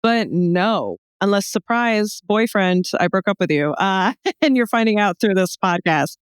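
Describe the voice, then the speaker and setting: A young American woman chatting casually as a podcast guest, telling humorous anecdotes in an animated, joking way and acting out bits of dialogue. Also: medium pitch, measured pace, clean tone, dry recording, clean studio sound. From Texas, United States. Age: young adult. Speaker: podcast guest, comedy show founder.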